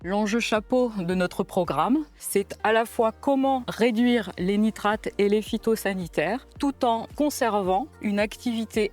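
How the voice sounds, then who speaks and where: Woman, average pitch, explanatory testimonial, light music in background. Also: darkish tone.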